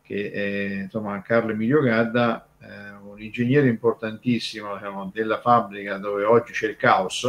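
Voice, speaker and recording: Halting, discursive man. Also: lowish voice, little pitch movement, a bit bright, dull audio. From Terni, Italy. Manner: halting, discursive, emphatic on key words. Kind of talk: informal explanatory radio discussion.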